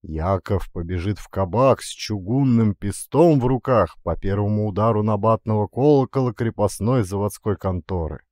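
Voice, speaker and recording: A man's voice: fairly low pitch, normal speed, hardly any pauses, mellow, no room sound. Male audiobook narrator, dry close sound. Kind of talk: expressive literary audiobook narration. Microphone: close studio condenser mic with pop filter.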